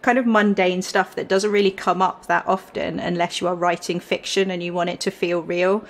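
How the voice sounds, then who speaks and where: A woman guest chatting casually in an interview, talkative and quick to laugh. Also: on the low side, steady tone. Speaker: historical consultant, podcast guest.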